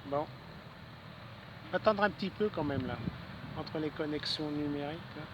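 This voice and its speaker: A Frenchman with a fairly high voice talks on amateur radio from a city garden, with clear outdoor noise around him. His delivery is casual and easygoing, with halting pauses as he hands over the conversation. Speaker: amateur radio operator, channel host. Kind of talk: casual radio contact chat. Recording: noisy outdoor city ambience. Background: urban outdoor noise, traffic.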